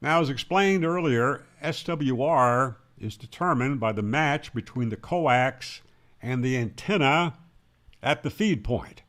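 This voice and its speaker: A man's voice: medium pitch, slow, a bit bright. A man giving an explanatory tutorial as a radio instructor, in an emphatic, didactic manner, posing questions and then answering them. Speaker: ham radio instructor and presenter.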